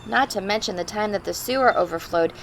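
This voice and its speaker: Female storybook reader for young children, expressive and chatty, creaky mid-range voice, clear background noise. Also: medium pitch, brisk, low-quality recording. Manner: expressive, simple explanations and questions for young children. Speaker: teacher reading a picture book aloud to children. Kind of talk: storybook read-aloud with chatty asides to children.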